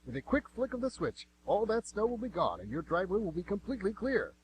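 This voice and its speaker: Zealous male infomercial huckster, acted, rapid parody radio ad, hum and noise behind. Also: high-pitched, wildly swooping pitch. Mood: excited selling. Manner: hard-selling, exclamatory. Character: zealous over-excited infomercial pitchman. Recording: noisy home recording with hum.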